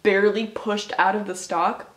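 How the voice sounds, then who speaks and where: A young woman talking casually as a vlogger, chatty, animated and jokey about herself. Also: mid-range voice, moderate pace. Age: young adult. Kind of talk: casual vlog narration, self-deprecating.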